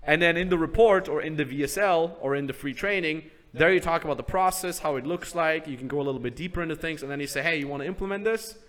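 A man hosting a podcast, giving casual business advice step by step. He keeps acting out sample sales pitches and checking in with "right?". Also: quick pace, hardly any pauses, fairly bright tone. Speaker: business coach host.

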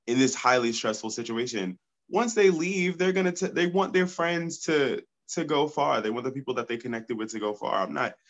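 A man's voice: mid-range voice, fairly bright tone, highs cut off. A congested man, a podcast guest, talking enthusiastically and outspokenly. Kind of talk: casual podcast chat.

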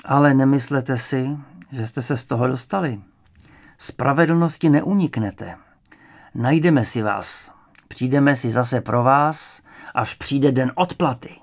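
Guy reading as nasty commie interrogator, shouting slogans, bullying, furious, audiobook villain. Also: medium pitch, lively intonation, lightly acted. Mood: threatening. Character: furious, fanatical male communist secret police interrogator, bullying.

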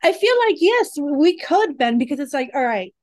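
A woman's voice: high voice, big pitch swings, moderate pace, no echo. A woman in her forties co-hosting a podcast, chatting casually and often searching for her words. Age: 40s. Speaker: podcast co-host. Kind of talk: casual chat.

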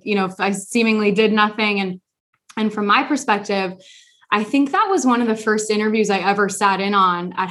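A woman's voice: medium pitch, fairly bright tone. A young woman hosting a podcast, talking casually and openly, with lots of filler words. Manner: chatty, frequent "like" fillers. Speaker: podcast host. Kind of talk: casual chat.

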